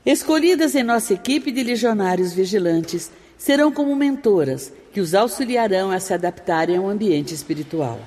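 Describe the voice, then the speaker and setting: An actor playing a solemn, stern spirit instructor, preaching formally and deliberately in a radio drama. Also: mid-range voice, animated, darkish tone, natural voice. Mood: grave, instructive. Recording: long reverb, music behind.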